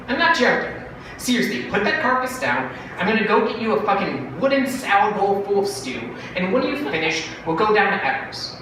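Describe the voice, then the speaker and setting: A man voicing a fast-talking, wheedling brother pitching a bargain in a dramatic monologue from a short story. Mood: pushy, excited. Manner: salesman-like, cajoling, animated. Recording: very echoey room, distant mic, noisy.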